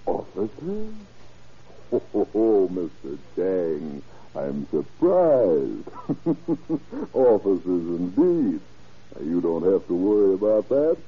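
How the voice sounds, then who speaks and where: An actor playing an oily, boastful middle-aged drug dealer in an old radio crime drama, ingratiating, with hemming and chuckles. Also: male voice, deep voice, huge pitch swings, slow pace, breathy edge, exaggerated voice. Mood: gleeful boasting. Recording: muffled old-time radio recording.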